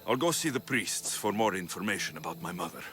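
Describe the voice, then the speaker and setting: An actor playing a blunt, determined Spartan mercenary in a video game, with a sardonic edge. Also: male voice, low voice, sing-song, fast, bright, forceful tone, soft background music, exaggerated voice. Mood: earnest. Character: blunt, determined male Spartan mercenary searching for his mother.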